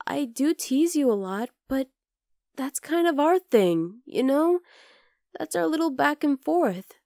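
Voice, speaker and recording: Casting: actress as young wolf-girl roommate for ASMR roleplay, fond teasing with tender exasperation, unhurried delivery, close dry mic. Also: sits high, darkish tone, no room sound. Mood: earnest, concerned. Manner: casual, exasperated but tender, sighing. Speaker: voice actor in audio roleplay. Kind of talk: ASMR roleplay, acted one-sided dialogue.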